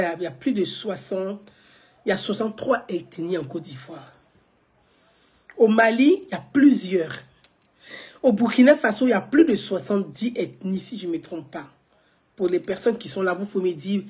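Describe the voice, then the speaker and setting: A woman's voice: medium pitch, breathy edge. A woman, giving live political commentary to viewers, emphatic, repeating her points and asking rhetorical questions.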